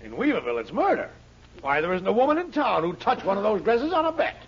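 An actor playing a scheming, spiteful small-town shop owner in a 1940s radio comedy, fussy and theatrically indignant, with drawn-out sarcasm. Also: male voice, high-pitched, big pitch swings, slight background noise. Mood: gloating. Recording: muffled vintage 1940s radio broadcast.

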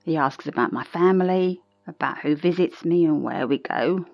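An actress playing a frightened, secretive older woman confiding in a hushed way to a tape recorder in an audio drama. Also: low voice, moderate pace, gravelly, dry recording, soft background music. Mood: fearful, hushed. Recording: dull, close, dry tape recording.

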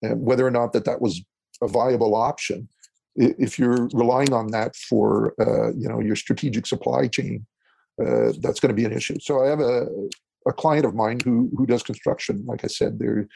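A man from Eastern Ontario whose first language is French, answering interview questions as a consultant in a halting way, with many fillers and restarts. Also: medium pitch, fairly even intonation, vocal fry, dark tone, slightly rough. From Eastern Ontario, Canada. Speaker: guest, consultant.

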